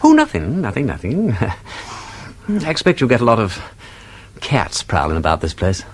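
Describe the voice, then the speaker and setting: Gentleman sleuth, upper-class English accent, very animated intonation, urbane, persistent, theatrical, radio drama. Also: medium pitch, unhurried, slightly rough, heavily acted. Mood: feigned casual curiosity. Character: witty, inquisitive gentleman sleuth, playful and persistent. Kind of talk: British radio drama acting.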